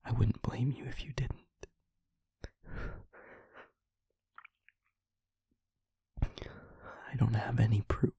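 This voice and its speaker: A man playing a guilt-ridden demon lover in an intimate audio roleplay, speaking in a halting, breathy, confessional hush. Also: mid-range voice, steady tone, no echo, exaggerated voice. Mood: anguished, helpless. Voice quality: breathy, husky, whispery.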